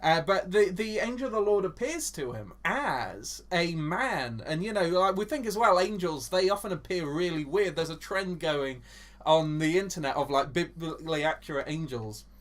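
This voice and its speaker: Enthusiastic English male co-host, slightly high voice, rambling church-history chat. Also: animated, normal speed, a bit bright. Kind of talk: casual Bible teaching chat.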